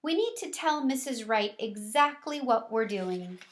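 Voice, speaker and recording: A woman reader plays a kindly storybook schoolteacher. She speaks slowly in a fairly high voice, with patient, practical explanations for her class. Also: expressive. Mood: brisk, organising. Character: kindly, practical female primary school teacher.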